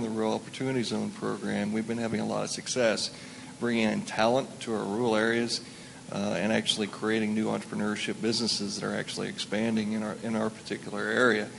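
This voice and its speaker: A man in a conference audience asking a question, loud and earnest. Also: lowish voice, gravelly, slight background noise, rough recording. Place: conference hall.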